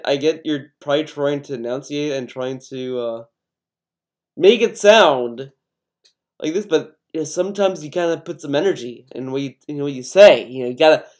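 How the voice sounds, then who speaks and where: An American man from Connecticut with a voice pitched a little high, ranting in a casual vlog. He rambles, swears freely and sounds defensive as he circles back over his points. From Connecticut, USA. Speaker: YouTuber.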